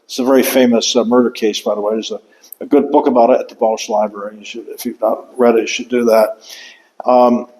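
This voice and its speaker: Older man giving a halting talk in a reverberant hall. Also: medium pitch, level tone, a little mellow. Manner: halting, with many fillers and restarts. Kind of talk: museum author talk. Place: lecture room.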